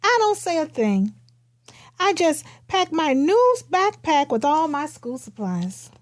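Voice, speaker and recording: A quiet, earnest kindergarten girl tells her storybook tale , read by a woman. The voice is high and the phrasing simple and expressive. It sounds dry, with hardly any room. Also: slow, dry recording, exaggerated voice. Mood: earnest, matter-of-fact.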